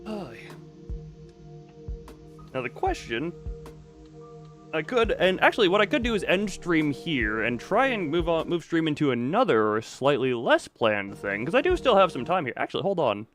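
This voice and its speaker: A man's voice: a little high, very animated, normal speed, fairly bright tone. A man livestreaming with casual chat, muttering and thinking aloud in a distracted way. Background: background music.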